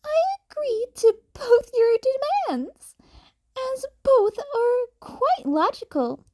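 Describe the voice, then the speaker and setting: Woman playing clever male creature principal, very high pitch, courteous, thoughtful, audiobook character. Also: very animated, takes it slowly, darkish tone, full character voice. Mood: agreeable. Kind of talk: fan fiction audiobook character voice.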